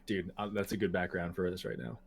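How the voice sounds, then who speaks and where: A man's voice: low voice, fairly even intonation, quick pace, gravelly, breathy edge, no room sound. Twenty-something guy shooting the breeze on a podcast, swears a bunch, laughs a lot. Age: 20s. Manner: loose and profane, laughs often. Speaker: podcast co-host. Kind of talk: casual chat.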